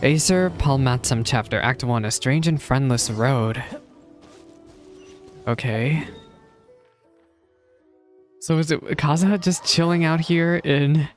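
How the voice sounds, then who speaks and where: A man's voice: on the high side, slow pace, long pauses. Casual male streamer musing over a game. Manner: musing aloud, chatty asides. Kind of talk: casual game reaction commentary. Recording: faint game music behind.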